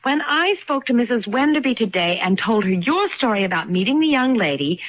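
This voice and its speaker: Young wife, radio drama: high, animated, insistent, suspicious of her husband; muffled old broadcast sound. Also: a little fast. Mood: insistent, irritated. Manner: insistent, pointed questioning. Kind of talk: 1970s-style radio drama acting.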